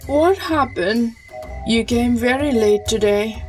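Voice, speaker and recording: A woman voicing a naive, questioning village wife in an animated storybook tale, earnest and emphatic. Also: on the high side, takes it slowly. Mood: puzzled. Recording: music clearly behind the voice.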